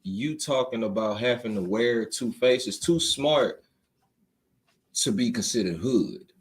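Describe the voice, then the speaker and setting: A man hosting a casual talk show, loud and emphatic, laughing often as he tells stories. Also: mid-range voice, slow pace. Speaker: host. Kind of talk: casual podcast chat.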